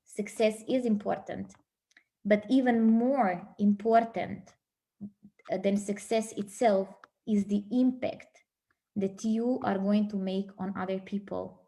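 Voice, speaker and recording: A woman giving a motivational speech, earnest, with pauses between phrases. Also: medium pitch, fairly even intonation, mellow. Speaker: motivational speaker.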